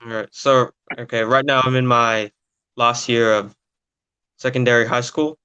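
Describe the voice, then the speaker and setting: A man's voice: medium pitch, level tone, normal speed, pushed and bright, smooth, no room sound, highs cut off. A nineteen-year-old man answering interview questions casually and hesitantly, with lots of filler sounds. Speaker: podcast guest, young footballer.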